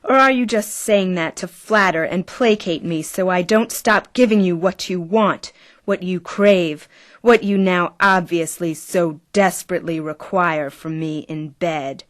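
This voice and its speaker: A woman's voice: fairly low pitch, unhurried, dry recording, clean studio sound, natural voice. A woman playing an arrogant, seductive clone in an audio drama, wordy and taunting in manner. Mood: scornful, taunting.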